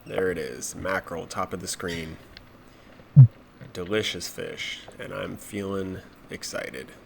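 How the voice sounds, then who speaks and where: A man with a deep, low voice narrates dive footage in a relaxed, explaining way. His nose is stuffed up from a cold. A faint noise sits under the voice-over. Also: slow, vocal fry. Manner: relaxed, matter-of-fact explaining. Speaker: spearfishing vlogger.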